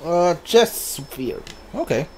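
A man's voice: fairly high pitch, very animated, takes it slowly, a little hiss or hum. A man doing live gameplay commentary as a streamer, thinking aloud as he plays. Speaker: game streamer.

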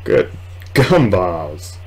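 Low-pitched young man, rambling gamer. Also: sing-song, drawn-out words, slightly rough. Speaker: gaming video host. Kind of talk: casual let's play commentary. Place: small room at home, indoors.

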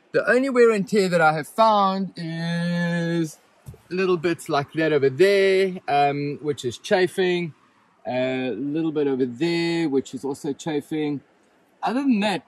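Male, forties, a touch high-pitched, casual rambling gear-review delivery on a handheld phone. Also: fairly bright tone. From Southern Africa. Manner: chatty, rambling, with frequent ums and restarts. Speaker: vlogger reviewing his rooftop tent. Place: inside a rooftop tent, then outdoors beside a vehicle in the bush. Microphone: handheld phone camera at arm's length.

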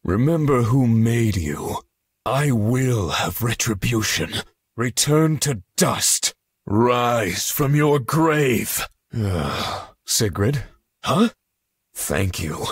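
A man playing a grim, imperious necromancer warlord in a fantasy video game, with a raspy, theatrical delivery. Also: mid-range voice, sing-song, no echo, studio quality, full character voice. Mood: calm, brooding. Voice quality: husky, raspy. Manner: slow, imperious, dramatic, formal diction. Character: grim, commanding undead-raising necromancer warlord.

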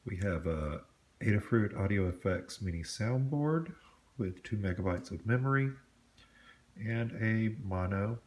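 A man with a lowish voice talks through his workbench project in an easygoing, explanatory way. He pauses now and then with a small "uh" or "um". It sounds like an off-camera camera mic at a home hobby bench. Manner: relaxed, explanatory, with small fillers. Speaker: model railroad hobbyist vlogger. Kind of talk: casual show-and-tell narration.

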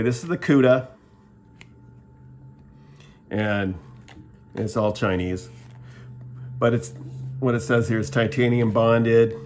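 A middle-aged man giving a casual, rambling show-and-tell review at a table, plainspoken and often restarting his sentences. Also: on the low side. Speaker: product reviewer. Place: indoors at a table, filming the tabletop.

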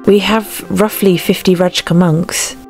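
A woman's voice: on the low side, steady tone, measured pace, faint music behind, low-quality recording. A woman voicing a determined young warrior princess, earnest and resolute, in a fan dub.

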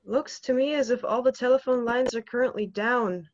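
A man's voice: extremely high pitch, moderate pace, no echo. A dry, dull video-call recording of a young man playing a courteous telephone operator in a drama. He is apologetic, formal and a little hesitant. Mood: polite apologetic. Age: young adult. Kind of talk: stage-style dramatic acting. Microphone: computer video call, wearing headphones.

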